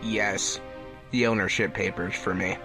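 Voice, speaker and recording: A man's voice: fairly low pitch, fairly even intonation, a little slow, pitched below the performer's own voice. A man voicing a defensive young male hedgehog hero in a fan fiction audio drama, hesitant and guarded. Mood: uneasy. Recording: music behind the voice.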